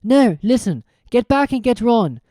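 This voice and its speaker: A woman's voice: a little high, sing-song, a little slow, dark tone, dry recording, clean studio sound, voice pushed up. A woman voicing a brave, worried young schoolboy wizard in a storybook reading, earnest and urgent.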